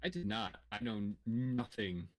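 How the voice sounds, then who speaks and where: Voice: mid-range voice, very animated, measured pace. A stream co-host bantering casually in a wry, self-deprecating way. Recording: voice over faint music.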